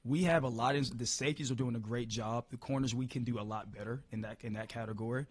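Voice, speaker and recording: A hoarse-voiced man coaching football, answering press questions upbeat, often repeating words as he restarts. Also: mid-range voice, steady tone, fast talker, dry recording. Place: media room.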